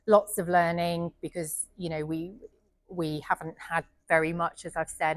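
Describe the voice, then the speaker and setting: A woman's voice: low voice, no room sound. A British woman doctor in her thirties or forties giving a friendly, chatty talk to an audience, with frequent ums. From United Kingdom. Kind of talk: informal presentation to an audience. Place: indoor venue with an audience.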